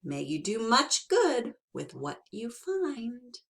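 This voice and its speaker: Woman voicing a shrill sly old leprechaun. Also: huge pitch swings, slow, creaky, exaggerated voice. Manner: scolding, shrill and wheedling. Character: tiny sly old male leprechaun shoemaker.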